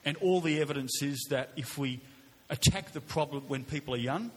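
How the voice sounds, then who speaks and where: A middle-aged Australian man with a loud voice, answering as a politician at a public forum, repeating words haltingly. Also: a little high. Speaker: politician on a panel. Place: large indoor hall, public forum. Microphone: shared event microphone. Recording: echoey hall with background noise.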